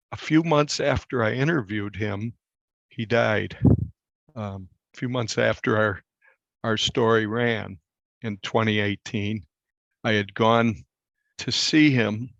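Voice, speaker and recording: Gravelly older man, dull recording. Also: fairly low pitch, fairly even intonation, slow, pauses often, fairly bright tone, dry recording. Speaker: newspaper reporter interviewed about a story. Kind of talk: conversational interview recollection.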